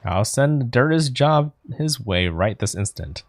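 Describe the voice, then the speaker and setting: An actor playing a terse young warrior in a video game, with a deadpan, curt delivery. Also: male voice, medium pitch, takes it slowly. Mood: dry, curt.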